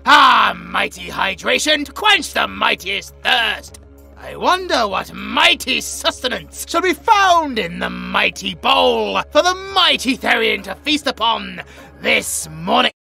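High-pitched, pompous British tiger-boy cat. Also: animated, slow, gravelly, bright, forceful tone, soft background music, exaggerated voice. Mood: grandly boastful. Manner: grandiose, theatrical, meowing. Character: pompous, melodramatic tsundere tiger-boy cat, self-styled mighty. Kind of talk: comedic audio roleplay acting.

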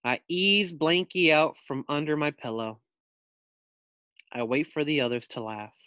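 A man reading a children's picture book aloud as a teacher, expressively, pausing to put questions to his young listeners. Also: on the high side, pushed and bright, clean tone, dry recording, studio quality.

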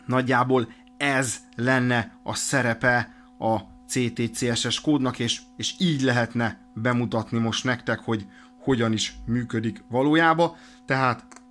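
A man explains things in an informal, halting way, thinking aloud as he presents a hands-on demonstration. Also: medium pitch, a bit bright. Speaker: presenter of a radio equipment demonstration. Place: indoors at a desk.

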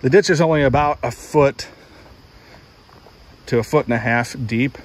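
A man's voice: medium pitch, normal speed. American man, casual outdoor vlog with background noise. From United States, Ohio. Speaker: outdoors vlogger. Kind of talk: casual video update to viewers. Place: outdoors in woods. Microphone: phone held at arm's length. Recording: outdoor insect noise on phone recording.

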